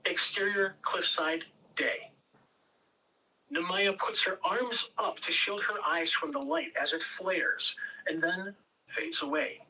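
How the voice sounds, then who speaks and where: A man's voice: high-pitched, slow pace, pushed and bright. A man reading out stage directions as scripted narration at an amateur table read. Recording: distant room mic, faint noise.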